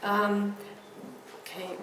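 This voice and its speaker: A woman from Austria, a native German speaker with an Austrian German accent, gives an academic conference lecture, haltingly searching for words. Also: mid-range voice, completely flat delivery. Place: presentation room with an audience. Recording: echoey room, distant mic, noisy background.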